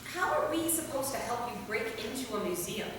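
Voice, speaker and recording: A woman's voice: a little high, big pitch swings, fairly quick. A woman acting a worried, loyal, sensible vampire with a firm, earnest delivery in a staged play reading. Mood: skeptical. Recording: echoey hall from far off, faint noise.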